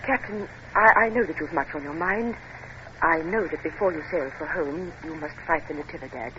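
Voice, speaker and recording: A woman's voice: sits low, moderate pace, natural voice. An actress playing a poised, spirited aristocratic English lady, gracious and refined, in a 1950s radio drama. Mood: earnest, brave. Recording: dull, noisy 1950s radio recording.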